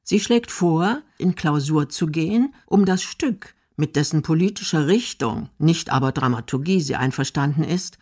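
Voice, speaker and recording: A woman's voice: sits low, expressive, normal speed, hardly any pauses, a little mellow, breathy edge. A woman reading scripted narration for a radio documentary.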